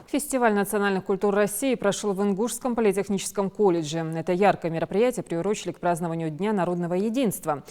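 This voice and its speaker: Mellow woman news anchor. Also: mid-range voice, quick pace, hardly any pauses. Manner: calm, formal delivery. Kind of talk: studio news reading.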